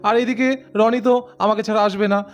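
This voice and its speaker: A man's voice: high voice, fairly even intonation, fast talker, lightly acted. A man voicing a cheerful young son-in-law doll in a cartoon story, chatty and breezy. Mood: self-justifying. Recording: music behind the voice.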